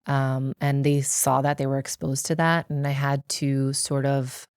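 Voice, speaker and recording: American woman, low voice, telling her own story in an interview, articulate, very dry recording. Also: level tone, measured pace, darkish tone, clean tone, no room sound. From United States. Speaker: interview guest, activist. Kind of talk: reflective personal interview.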